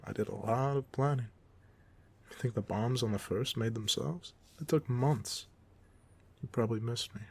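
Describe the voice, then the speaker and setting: A man playing a goofy, soft-hearted supervillain off duty in an audio roleplay, talking casually with teasing, self-deprecating humor. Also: mid-range voice, normal speed, vocal fry, pauses often, husky. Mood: playful, proud. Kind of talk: ASMR roleplay monologue, casual conversational acting.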